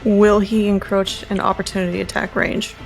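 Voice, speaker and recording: A woman's voice: mid-range voice, little pitch movement, normal speed, gravelly, low-quality recording. A woman player describing her character's action in a casual, matter-of-fact way. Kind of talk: tabletop game play. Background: music.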